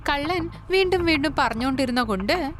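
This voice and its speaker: A woman narrating an animated moral story in a simple, colloquial way, with background music. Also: high-pitched, fairly quick.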